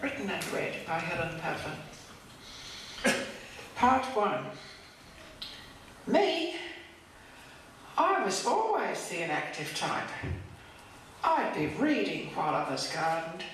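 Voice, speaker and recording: Older female poet, unhurried monologue reading; very echoey playback with faint hiss behind. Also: lowish voice, sing-song, fairly bright tone, distant mic. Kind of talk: reading her own poem as a monologue. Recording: played over echoey hall speakers, faint noise. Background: faint hiss of hospital oxygen.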